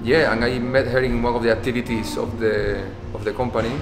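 A man's voice: medium pitch, steady tone, fairly quick, creaky. A man, speaking thoughtfully in an interview, often repeating words. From Spain. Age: 50s. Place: dance studio, indoors. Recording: echoey hall with music behind.

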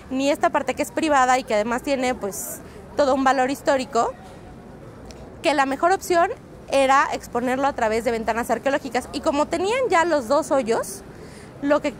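High-voiced Mexican woman reporter outdoors. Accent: Mexican Spanish. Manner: fluent and explanatory, with frequent "eh" fillers. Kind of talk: street interview. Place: outdoors on a busy city street. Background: faint street ambience.

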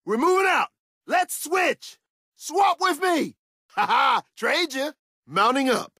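Tough confident soldier, video game combat barks, swaggering, punchy, very animated intonation, dry sound. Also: male voice, very high voice, slow pace, no echo, exaggerated voice. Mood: urgent, rallying. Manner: cocky, punchy, swaggering delivery. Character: tough, confident male soldier, upbeat battlefield fighter.

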